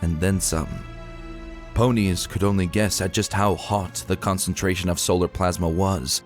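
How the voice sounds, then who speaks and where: A man giving a dramatic, scripted narration of a dark fantasy adventure story. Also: low-pitched, fairly even intonation, moderate pace. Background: music.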